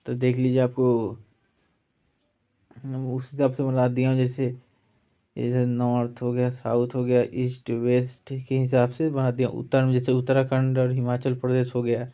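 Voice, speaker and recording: A man's voice: medium pitch, completely flat delivery, normal speed, mellow, clear, resonant tone. A man teaching an online exam-prep lesson, reading out facts point by point with a repeated tag after listed items. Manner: repetitive verbal tag after each listed item.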